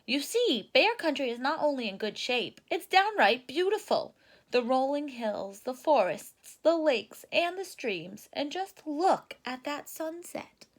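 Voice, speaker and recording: A woman's voice: high-pitched, sing-song, a little slow, pitched above the performer's own voice. A woman voicing a folksy, dismissive father bear from a storybook, in a hearty, blustering and emphatic manner. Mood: proud.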